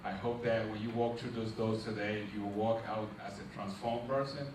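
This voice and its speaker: A man's voice: lowish voice, fairly even intonation, normal speed. A middle-aged man gives a preacherly motivational speech to a crowd, asking them questions and building to emphatic peaks. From Liberia. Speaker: nonprofit founder giving a launch speech. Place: large echoey event hall. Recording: echoey hall, distant mic, noisy.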